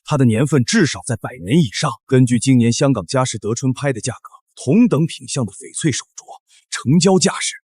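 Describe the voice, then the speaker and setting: An actor playing an authoritative older jade appraiser in a short drama. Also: male voice, medium pitch, animated, fairly quick, a little mellow, breathy edge, no room sound, studio quality. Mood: assured, impressed. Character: authoritative veteran male jade appraiser, sure of himself. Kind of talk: Chinese short drama acting.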